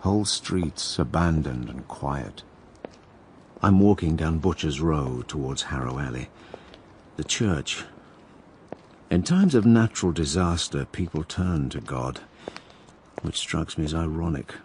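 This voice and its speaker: Haunted, needy writer, very deep voice, brooding radio-play delivery over faint ambient noise. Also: male voice, lively intonation, measured pace, a little mellow, heavily acted. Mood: bleak, reflective. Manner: morose, self-pitying, reflective. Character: brooding, troubled male writer, needy, wounded, haunted by plague. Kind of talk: radio drama dialogue and narration.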